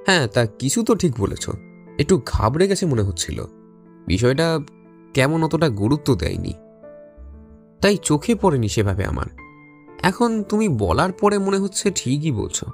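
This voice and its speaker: An actor playing a detective's doubtful young sidekick, telling an audio story expressively. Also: male voice, fairly high pitch, big pitch swings, moderate pace, pauses often. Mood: questioning. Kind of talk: dramatic audio story narration and dialogue. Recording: reverberant voice over music bed.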